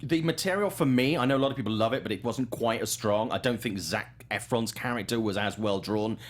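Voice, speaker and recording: A man's voice: lowish voice, fairly quick. A middle-aged man hosting a film talk show, chatting casually with enthusiastic, emphatic opinions. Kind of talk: casual film review chat.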